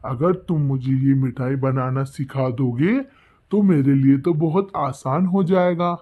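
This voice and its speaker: A man's voice: mid-range voice, unhurried, natural voice. Man voicing a plaintive cartoon husband bird, phone-like sound. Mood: worried. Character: Tuntuni's anxious, plaintive husband bird, a sweet-maker. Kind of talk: children's cartoon story voice acting. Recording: thin phone-like band-limited sound.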